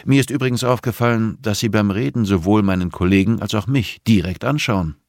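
A man's voice: on the low side, brisk, dry recording, clean studio sound, pitched above the performer's own voice, natural voice. Man playing controlled, probing investigator. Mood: probing, calm. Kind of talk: audiobook character dialogue.

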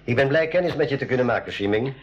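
A man's voice: mid-range voice, animated, very fast, natural voice. A man playing a friendly, inquisitive adventurer in a school radio play. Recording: dull lo-fi 1980s radio recording.

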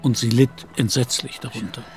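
A man's voice: mid-range voice, steady tone, fairly quick, over music, natural voice. A man narrating a literary audio drama in German as a scripted storyteller.

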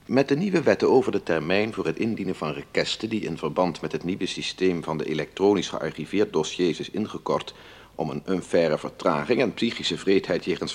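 A defence lawyer telling a friend about an absurd case in a radio drama. His voice is deep and husky with a gravelly edge. He confides with animated, exasperated disbelief. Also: full character voice. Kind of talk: radio drama letter monologue.

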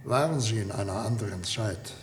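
An actor in a German radio drama playing an eager, apologetic scientist with a fussy, polite, insistent manner. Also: male voice, lowish voice, fairly quick, close to an everyday voice. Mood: solicitous, curious. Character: eager, apologetic male scientist running an experiment. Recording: roomy echo with faint background noise.

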